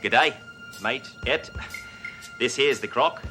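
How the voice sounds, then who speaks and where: Man doing a put-on Australian accent, boastful tycoon, loud bluster, mid-pitched, music behind. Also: slow pace. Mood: flirty. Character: brash fake-Australian male tycoon, boastful.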